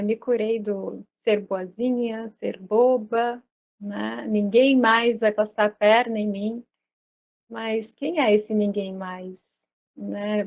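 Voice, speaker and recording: Gentle reflective woman, lo-fi sound. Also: medium pitch. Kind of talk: casual chat.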